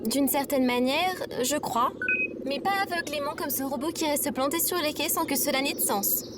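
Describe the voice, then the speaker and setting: A woman voices an earnest AI droid in an audio drama, inquisitive and precise in wording. The voice sits high, with loud birdsong and animal ambience around it. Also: normal speed, heavy background noise, natural voice. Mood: questioning. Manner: formal, literal, inquisitive. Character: earnest female AI companion droid, frustrated and questioning. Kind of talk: audio fiction acting.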